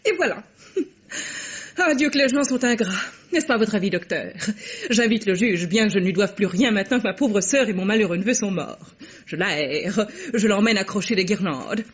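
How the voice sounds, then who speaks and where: A woman's voice: mid-range voice, moderate pace, slightly rough, close to an everyday voice. An actress playing a theatrical, effusive middle-aged society mother in an old French radio drama. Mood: affected, complaining. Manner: effusive, affected, exclaiming. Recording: dull vintage radio recording.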